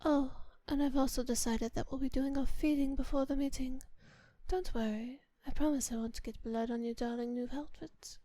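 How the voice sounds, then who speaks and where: A woman playing a kind, doting vampire mistress in an intimate ASMR roleplay, speaking softly and often whispering in refined, unhurried phrases. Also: sits high, fairly even intonation, no echo, lightly acted. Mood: gently commanding. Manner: soft, breathy, often whispered, unhurried endearments; refined, formal diction. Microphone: close microphone in a dry space.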